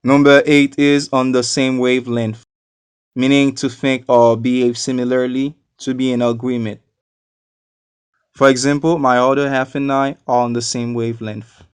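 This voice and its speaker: A man from Haiti, a non-native English speaker, giving a scripted English lesson with deliberate, explanatory enunciation. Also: mid-range voice, little pitch movement, clean tone, no room sound. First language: not a native English speaker. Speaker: English teacher. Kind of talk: scripted educational narration.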